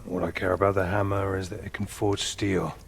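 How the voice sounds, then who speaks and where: An actor playing an earnest, blunt warrior blacksmith in a video game, serious and measured. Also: male voice, sits low, little pitch movement, vocal fry, slight background noise. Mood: grave. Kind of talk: video game cutscene acting.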